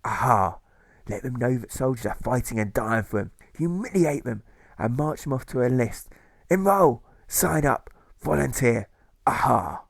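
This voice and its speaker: A man's voice: medium pitch, animated, unhurried, creaky, no room sound. A man voicing a bombastic, maniacal admiral who declaims and barks exclamations in a rhyming audiobook. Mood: rousing, bombastic. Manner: declamatory, barking exclamations, demented cackles. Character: bombastic, maniacal male admiral rallying for war.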